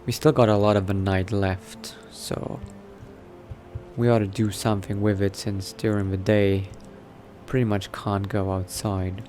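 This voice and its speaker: A man playing a newly turned vampire in a game, thinking aloud in character in a musing, unhurried manner with trailing phrases. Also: on the low side, little pitch movement, darkish tone, soft background music. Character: newly turned vampire exploring new powers, musing adventurer. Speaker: roleplaying game player. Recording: noticeable background noise.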